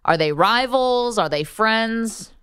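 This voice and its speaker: A woman's voice: a little high, expressive, slow pace, fairly bright tone, clean tone, no room sound, clean studio sound. A woman hosting a sports radio show, chatting casually with callers.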